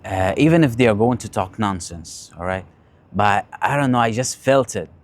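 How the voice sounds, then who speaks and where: Low-voiced young man talking candidly. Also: animated. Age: late teens. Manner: halting, often repeating phrases. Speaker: vlogger sharing personal stories and advice. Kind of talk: casual confessional talk, storytelling.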